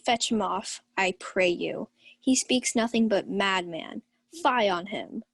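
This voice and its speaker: A woman's voice: mid-range voice, slow, slightly rough, no room sound. A young woman playing a proud, commanding countess in a theatrical radio Shakespeare play. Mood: imperious. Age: young adult. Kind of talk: 1940s radio Shakespeare acting.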